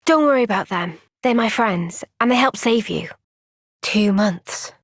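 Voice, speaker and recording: A woman playing an earnest, gentle young female soldier in a video game cutscene, speaking with measured, sincere resolve. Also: medium pitch, animated, no room sound, clean studio sound. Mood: gentle, reassuring.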